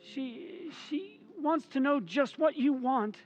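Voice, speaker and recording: A woman plays a timid, henpecked little husband in a radio horror play, stammering and hesitant. The voice is high and pleading, on a dull recording with faint music underneath. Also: takes it slowly, a little mellow. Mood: meek, nervous. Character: timid henpecked husband, fearful, meek, simple little man. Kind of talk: old-time radio horror acting.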